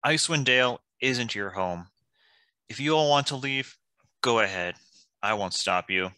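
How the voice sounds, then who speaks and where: A man playing a resolute, loyal fantasy hero with an earnest, firm delivery in an animated table read. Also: medium pitch, measured pace, smooth, dry recording.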